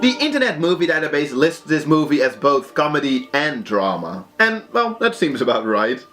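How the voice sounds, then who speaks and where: A young Belgian man whose first language is Dutch, casually recommending movies in a halting, animated way with frequent restarts. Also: on the high side.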